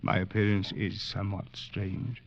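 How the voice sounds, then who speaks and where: A sinister, corpse-like old doctor in a vintage radio play, with a very deep, gravelly, husky voice. He talks slowly and softly, with a polite calm that unsettles. The recording sounds dull and lo-fi. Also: a little hiss or hum. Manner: slow, soft-spoken, unsettlingly polite. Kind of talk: 1940s radio acting.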